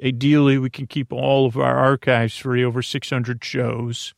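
A man hosting a bedtime sleep podcast in a creaky, lulling voice, meandering through goofy tangents and halting, stammered self-corrections. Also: mid-range voice, level tone, no room sound, clean studio sound. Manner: soothing, lulling; goofy, whimsical. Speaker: podcast host.

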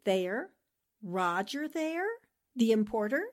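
A confident 1940s radio newspaperwoman, played by an actress, wry and knowing. Her voice sits fairly high and swings expressively, with a measured, unhurried delivery. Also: sing-song. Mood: inquisitive. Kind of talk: 1940s radio drama acting.

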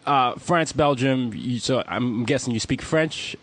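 A man's voice: mid-range voice, measured pace, fairly bright tone. A man from Nigeria interviewing a guest in a casual, friendly way, laughing often and restarting his sentences.

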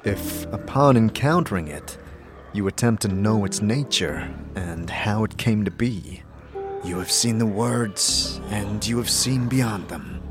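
A man acts out a stern, sage warrior teacher from a fantasy audio drama. He speaks solemnly and formally, in measured aphorisms, pitched low. Music plays clearly behind him. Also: animated.

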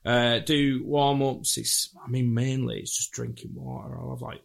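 A man in his thirties with a raspy voice, a band vocalist chatting casually as a podcast guest. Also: medium pitch, flat delivery, normal speed. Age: 30s. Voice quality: raspy, gravelly. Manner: laid-back, swears casually, laughs often. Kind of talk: casual chat.

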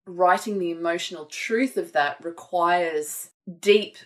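A woman's voice: lowish voice, takes it slowly. A woman author talking thoughtfully and earnestly about her writing in a conversation. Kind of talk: reflective conversation.

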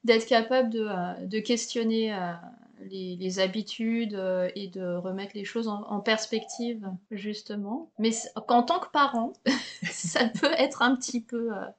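A middle-aged French woman hosting a relaxed interview, talking with many hesitations and restarts. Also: medium pitch, measured pace, a little hiss or hum. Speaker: podcast host. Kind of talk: casual conversational interview.

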